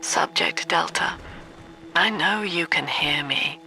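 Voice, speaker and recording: Cold middle-aged female zealot, unhurried, creaky voice, solemn game monologue, precise enunciation, music behind. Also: low-pitched, bright, forceful tone, exaggerated voice, low-quality recording. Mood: accusing, grim. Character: cold, cerebral middle-aged woman, zealous cult leader and mother. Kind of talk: dramatic video game monologue.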